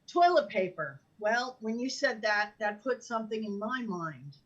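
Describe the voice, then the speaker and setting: A woman with a fairly high voice chats casually as the co-host of a live show. The sound is a little dull and lo-fi, like online audio. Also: very animated, a little slow.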